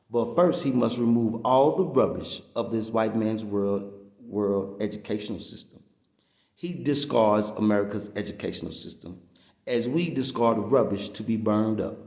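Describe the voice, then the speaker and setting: A man with a fairly low voice reads a written message aloud like a preacher. He leans on key words and stretches them out for emphasis. The recording is roomy, with a clear echo around his voice. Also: a little mellow. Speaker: reader reading a text aloud. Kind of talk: read-aloud of a religious-political message, preaching delivery.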